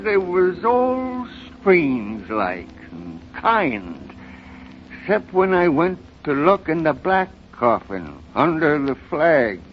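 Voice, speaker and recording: Old country grandfather: rural American, folksy and sorrowful, unhurried halting delivery, vintage radio play, dull recording. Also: on the high side. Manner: halting, rustic, dramatic storytelling. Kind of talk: 1930s radio drama acting. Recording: muffled, noisy 1930s radio recording.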